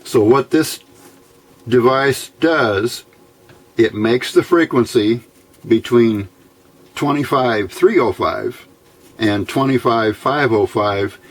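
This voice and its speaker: A man giving an unscripted technical explanation as a hobbyist, halting and deliberate, often clearing his throat. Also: medium pitch. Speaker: amateur radio hobbyist presenter.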